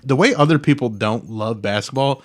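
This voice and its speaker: A man's voice: medium pitch, normal speed. A man hosting a podcast in casual chat, with a loose, informal way of talking. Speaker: podcast host.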